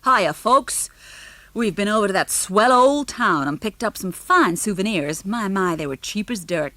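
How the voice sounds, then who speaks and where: A woman's voice: medium pitch, lively intonation, unhurried, bright, forceful tone, no room sound. A woman voicing a burly, boastful American father with a hearty, brash manner.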